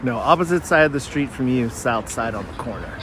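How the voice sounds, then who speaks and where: A man's voice: medium pitch, expressive, fairly quick. A man in casual conversation as a spectator at a soccer game. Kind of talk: casual chat. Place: outdoors beside a sports field. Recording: outdoor field with crowd and traffic noise. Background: crowd and urban outdoor sounds.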